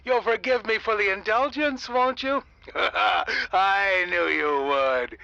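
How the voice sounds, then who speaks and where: A man's voice: sits high, slow pace, gravelly, bright, forceful tone, no room sound, muffled recording, full character voice. A man playing a fussy, theatrical radio station host in an audio comedy, opinionated and dramatic. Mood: showy, cordial.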